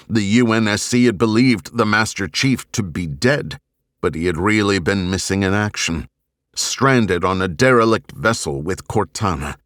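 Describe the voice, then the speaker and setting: A man narrating an audiobook novel in a grave, measured, dramatic way with deliberate pauses. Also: on the low side, fairly bright tone, no room sound, studio quality. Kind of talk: dramatic scripted narration of a science fiction novel.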